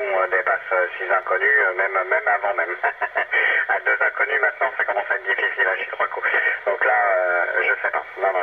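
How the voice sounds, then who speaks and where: A man's voice: low voice, vocal fry. A man chatting casually as a CB radio operator over the air, rambling and hesitant. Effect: CB radio transmission. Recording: very noisy, thin narrowband CB radio. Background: heavy radio static.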